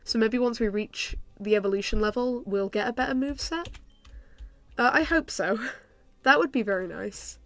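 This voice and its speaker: A woman's voice: a little high, moderate pace, no room sound. Stuffy-nosed young woman thinking aloud. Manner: thinks aloud with many ums, soft laughs. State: stuffy from a cold. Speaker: gaming YouTuber. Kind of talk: casual game commentary.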